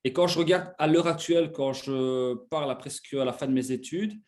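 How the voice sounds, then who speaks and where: A man's voice: medium pitch, brisk, a little mellow. A man in his twenties from Belgium, casually telling his own story as an interview guest. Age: 20s.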